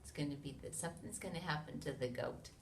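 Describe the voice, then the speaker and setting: An American woman reads a picture book aloud to young children in an expressive way, with sound effects, questions and hushed asides to her listeners. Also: low voice, quick pace, gravelly. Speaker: children's read-along reader. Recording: roomy, distant mic with noise.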